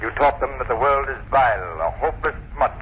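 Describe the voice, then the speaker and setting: A man's voice: medium pitch, fast talker, natural voice. A man acting a stern, indignant college president in an old radio drama, formal and severe. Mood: accusing. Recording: dull, hissy old radio recording.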